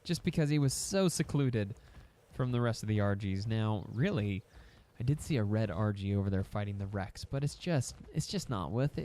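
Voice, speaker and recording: A man's voice: mid-range voice, darkish tone. A young man casually commentating a survival game, rambling to his in-game pets and his audience. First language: English. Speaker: gaming YouTuber. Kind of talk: casual let's-play commentary.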